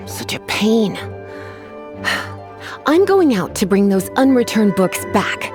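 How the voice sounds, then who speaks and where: A woman's voice: mid-range voice, very animated, music in the background. A woman playing a languid, teasing librarian in a video game, coy and polite with menace underneath. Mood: weary, ominous. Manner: coy, sighing, drawn-out politeness. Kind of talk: English video game voice acting.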